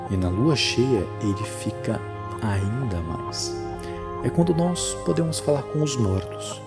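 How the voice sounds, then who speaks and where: Man voicing a grave, sardonic dead father's ghost, creaky. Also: medium pitch, lively intonation, measured pace, loud music behind the voice. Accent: Brazilian. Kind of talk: horror audio drama acting.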